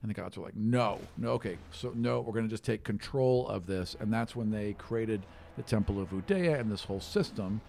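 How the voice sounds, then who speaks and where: A man's voice: lowish voice, brisk, vocal fry, hardly any pauses, a little mellow, a little hiss or hum. A man working as game master, explaining the game world in a casual, colloquial, conversational way. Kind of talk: casual tabletop game narration.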